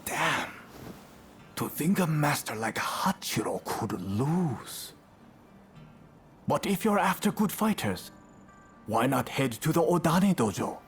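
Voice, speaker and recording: A man's voice: fairly high pitch, sing-song, slow pace, long pauses, soft background music, exaggerated voice. An actor playing an easygoing, welcoming swordsman ally in a video game, talking informally. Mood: relaxed, helpful. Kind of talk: video game cutscene acting.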